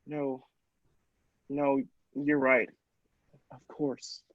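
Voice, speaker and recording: A man's voice: medium pitch, little pitch movement, extremely slow, no room sound, lo-fi sound. A man playing an earnest, shaken doctor in a radio play, agreeable and hesitant, with dramatic stammers. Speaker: podcast actor.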